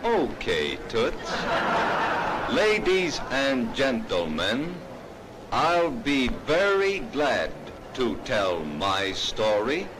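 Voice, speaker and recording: A cheeky, self-satisfied giant robot acted out by a man addresses a fair crowd in very slow, deliberate chunks. The pitch moves around a lot for all the halting delivery. It sits in a clearly noisy 1930s film soundtrack. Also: huge pitch swings, heavily acted. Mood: boastful, cheeky. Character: cheeky, boastful giant humanoid robot showman. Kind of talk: 1930s stage demonstration patter.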